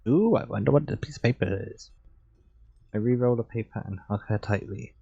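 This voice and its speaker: A man livestreaming a game and reading visual novel narration aloud in a relaxed, chilled manner. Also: medium pitch, brisk, gravelly, breathy edge, muffled recording. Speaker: game streamer.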